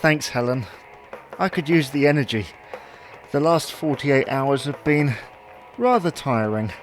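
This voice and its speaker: A man's voice: mid-range voice, animated, a little slow, faint music behind, natural voice. A man playing a chatty, fussy, wryly puzzled husband in an audio sitcom. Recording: noticeable background noise.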